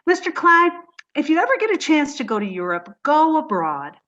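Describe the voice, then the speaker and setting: A woman's voice: high voice, big pitch swings, moderate pace, a bit bright, highs cut off. A woman playing a sweet, scatterbrained young secretary in a 1950s-style radio comedy, with a breathless, dizzy, sing-song delivery. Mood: cheerful, dizzy.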